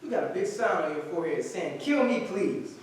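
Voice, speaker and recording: A man's voice: sits high, expressive, fairly quick. A man playing a brash, skeptical young aspiring actor in a staged play reading, curt and sarcastic. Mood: sarcastic, scornful. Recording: very echoey room, distant mic, faint noise.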